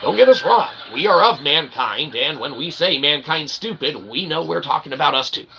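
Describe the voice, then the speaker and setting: A man hosting a casual, rambling livestream, self-deprecating and excitable, speaking of himself as "we.". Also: on the high side, moderate pace, over music. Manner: refers to himself as "we". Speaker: livestream host. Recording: clearly noisy background ambience.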